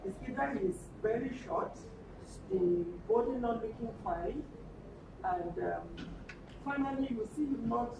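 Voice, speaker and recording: Woman, Nigerian accent, workshop participant, halting explanation, distant mic, clear noise. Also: fairly high pitch, animated. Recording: very echoey room, distant mic, noisy.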